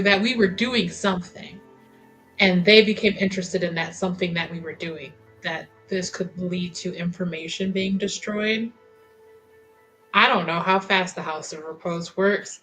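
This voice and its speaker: A woman's voice: medium pitch, a bit bright, poor audio. A woman chatting casually as a player at a tabletop roleplaying game, hesitant and rambling as she thinks aloud.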